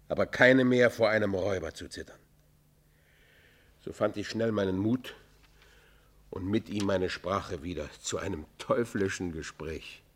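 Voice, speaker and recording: A low-voiced, guilt-ridden fugitive in a radio play. He confesses like a literary storyteller, declaiming in anguish and torment. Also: husky, heavily acted. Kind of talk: German radio play monologue and dialogue.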